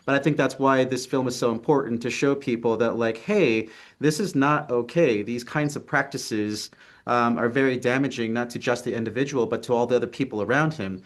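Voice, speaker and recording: Young Texan man, earnest and halting. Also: mid-range voice. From Texas, United States. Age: young adult. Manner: earnest, hesitant, many fillers. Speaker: film writer and actor, interview guest. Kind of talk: casual video-call interview. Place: city apartment with street traffic outside.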